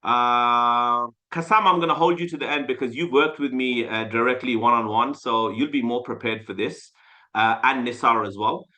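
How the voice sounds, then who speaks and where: A man with a bright, forceful voice leads a live online sales class over a call. He talks in an animated, loose way, repeating himself and peppering his talk with "like" and "uh". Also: mid-range voice, normal speed. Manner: animated, repeats phrases, frequent "like" and "uh". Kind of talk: casual live coaching session. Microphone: video call mic.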